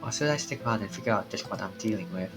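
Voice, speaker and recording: Man acting out an anxious, excitable game hero. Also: lowish voice, racing, voice pushed down, lightly acted. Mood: suspicious, alarmed. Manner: dramatic, exclamatory. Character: anxious, excitable young man trapped by curses. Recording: game music behind the voice.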